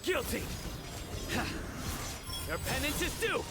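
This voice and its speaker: High-voiced stern young judge-warrior. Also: male voice, sing-song, slow pace, heavily acted. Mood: stern, wrathful. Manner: commanding, solemn, declamatory. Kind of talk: video game battle voice lines. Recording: loud game music and impacts.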